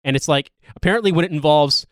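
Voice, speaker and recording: A male podcast host with a fairly high voice, recorded very dry. He chats about politics casually, rambling and wry, with some swearing. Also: fast, no room sound. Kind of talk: casual, joking political discussion.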